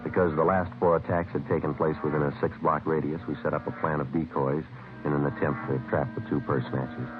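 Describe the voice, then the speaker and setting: An actor playing a terse, businesslike American police detective in a 1950s radio crime drama, clipped and deadpan. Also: male voice, a bass voice, a little fast. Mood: matter-of-fact. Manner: clipped, deadpan, matter-of-fact. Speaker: police detective narrator and lead. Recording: muffled vintage radio recording with music.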